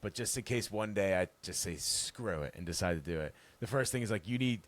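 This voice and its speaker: A man with a very deep bass voice, chatting casually as a podcast guest, rambling and relaxed with frequent laughs. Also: fairly quick. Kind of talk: casual interview chat.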